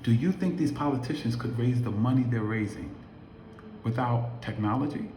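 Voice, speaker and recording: A man's voice: medium pitch, normal speed, mellow, slight background noise. A man in his late fifties giving an emphatic motivational talk to camera, asking his viewers many rhetorical questions. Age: 50s. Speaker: affiliate marketer vlogger. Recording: echoey room.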